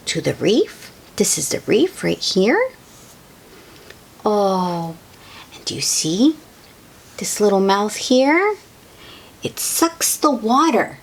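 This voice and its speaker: Woman for children's read-aloud: very slow delivery, lively swooping intonation, simple questions to the young listener. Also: mid-range voice, slightly rough. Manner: child-directed, asks the listener questions, exclaims in wonder. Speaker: host reading picture books aloud to children.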